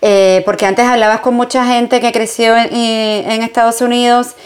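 A woman's voice: a little high, little pitch movement, moderate pace. Reflective Puerto Rican woman journalist. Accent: Puerto Rican Spanish. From Puerto Rico. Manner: reflective, mixes in English phrases, frequent fillers. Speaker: journalist and entrepreneur, guest. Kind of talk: conversational interview answers.

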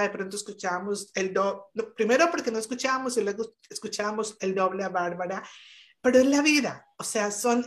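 Earnest woman on a video call. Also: mid-range voice. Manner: earnest, emphatic, many hesitations. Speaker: guest speaker. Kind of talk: motivational talk on a podcast panel.

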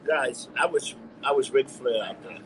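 A man's voice: mid-range voice, moderate pace, a little hiss or hum, low-quality recording. A man from New York with a New York accent, chatting casually and brashly as a podcast host, swearing and rambling through stories. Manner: brash, profane, rambling storytelling. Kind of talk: casual bar-talk podcast chat.

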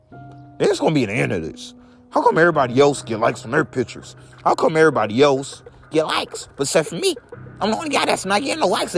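A young man with a deep voice, talking in a rambling, repetitive vlog rant, whose voice jumps high when he gets upset. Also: gravelly. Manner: repeats each phrase, voice leaps high when upset. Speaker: vlogger.

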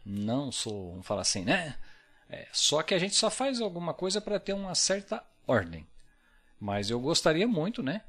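A man's voice: mid-range voice, fairly bright tone, breathy edge. A Brazilian man speaking Portuguese with a Brazilian accent, a podcast guest talking earnestly and reflectively, often ending thoughts with a tag question. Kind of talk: casual podcast conversation.

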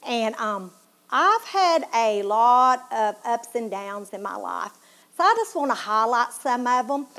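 A woman with a Southern Tennessee accent speaks with earnest, heartfelt feeling as she shares her life story. Her voice sits fairly high, and it drops to a soft hush when she reaches the painful parts. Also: lively intonation, a little slow. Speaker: show host. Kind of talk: personal testimony talk.

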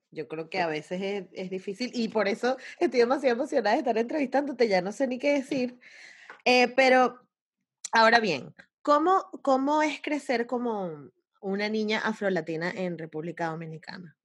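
A woman's voice: medium pitch, moderate pace, breathy edge. A woman interviewer chatting casually, with an informal, effusive manner.

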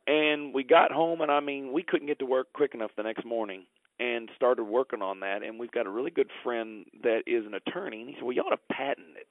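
A man speaks over a narrow, dry telephone line in an easy interview style. He tells stories in a folksy, enthusiastic way, like a business founder recounting how things came about, and his pitch sits in an ordinary middle range. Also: brisk, dry recording. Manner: folksy, enthusiastic storytelling, quoting past conversations. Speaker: company founder and president being interviewed. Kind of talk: casual interview chat, telling anecdotes. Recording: thin, dry phone-line sound.

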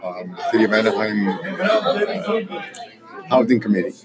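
A middle-aged man from Los Angeles answering interview questions casually, in a halting, informal, rambling way. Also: fairly low pitch, big pitch swings. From Los Angeles area, United States. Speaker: interview guest, realtor. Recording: loud crowd chatter behind.

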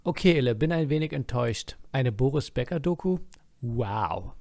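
A man's voice: medium pitch, animated, normal speed, vocal fry, darkish tone, no room sound. A man with a mid-range voice hosts a casual podcast chat, teasing his co-host with dry humor. He also reads out listener messages. The sound is dry and close.

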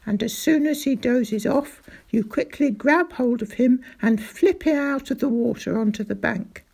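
A woman's voice: on the high side, a little slow, creaky, husky, voice pushed up, exaggerated voice. Woman doing a fussy little old country doctor. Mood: genial. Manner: excitable, grave then outraged. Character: small elderly country doctor, fussy and indignant.

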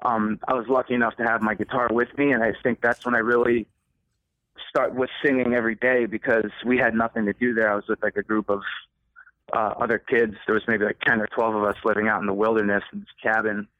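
A man's voice: lowish voice, little pitch movement, fast, dry recording. A man musician answering interview questions in a rambling, casual way with lots of fillers. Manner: rambling, many fillers and restarts. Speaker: musician guest. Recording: tinny phone line.

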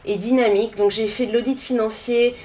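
A woman's voice: fairly high pitch, fairly even intonation, fairly quick, mellow. A woman in her thirties from France with a French accent, giving conversational answers as a podcast guest. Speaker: podcast guest, tour guide.

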